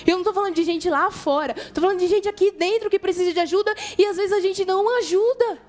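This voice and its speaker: A woman's voice: extremely high pitch, fast, darkish tone, faint music behind. A woman with a Brazilian accent preaching informally to young people, telling stories in colloquial language and speaking directly to her listeners. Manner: colloquial, conversational, addresses listeners directly, acts out dialogue. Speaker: youth church preacher. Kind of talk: informal youth sermon with storytelling.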